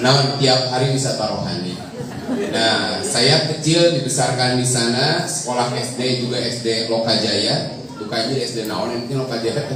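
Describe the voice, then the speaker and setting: A man's voice: mid-range voice, moderate pace, crowd noise. A man from Bandung with a Sundanese accent, a preacher casually telling stories about his childhood in a joking, chatty way. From Bandung, Indonesia. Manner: joking, chatty, laughs often. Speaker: preacher and guest speaker. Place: large echoey hall with an audience. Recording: echoey hall, distant mic, audience murmur.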